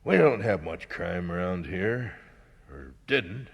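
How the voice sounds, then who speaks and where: An actor playing an uneasy, sardonic small-town accomplice in a radio drama, with a gravelly, husky voice. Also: male voice, low voice, big pitch swings, takes it slowly, exaggerated voice. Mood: wary, wry. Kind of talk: 1970s radio drama acting. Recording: reverberant 1970s radio drama recording.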